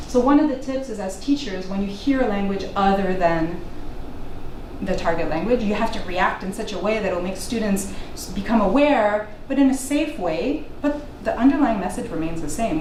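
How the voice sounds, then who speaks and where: A woman's voice: medium pitch, darkish tone, slight background noise. Francophone woman, middle-aged, guest lecturer, earnest and self-correcting, far-off mic, echoey room. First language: French. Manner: earnest, frequent small restarts. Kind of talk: academic talk to an audience. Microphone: room mic at a distance.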